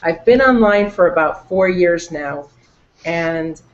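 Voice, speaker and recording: A woman's voice: lowish voice, animated, takes it slowly. A woman from New York speaks in an upbeat, casual way on a video call. The audio is muffled and lo-fi. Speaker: panel guest.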